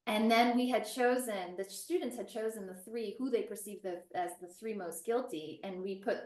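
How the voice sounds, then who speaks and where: A woman teacher, a guest on a webinar, telling an animated story about a class project with many restarts. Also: mid-range voice, moderate pace. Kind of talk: casual webinar chat. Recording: roomy and distant from the mic.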